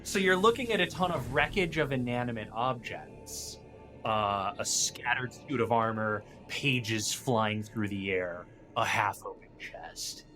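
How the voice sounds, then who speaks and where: A man playing a tabletop roleplaying game, talking casually with hesitant, measured pauses. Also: mid-range voice, pushed and bright. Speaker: guest player running the monster. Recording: faint music behind. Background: background music.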